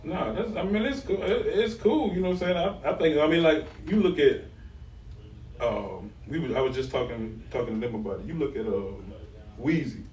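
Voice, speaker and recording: A man hosts a casual interview and asks his questions in a loose, rambling way full of fillers. His voice sits at a middle pitch. The sound is echoey and off-mic, with faint noise behind him. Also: expressive, moderate pace, a little mellow, far from the mic. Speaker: podcast host.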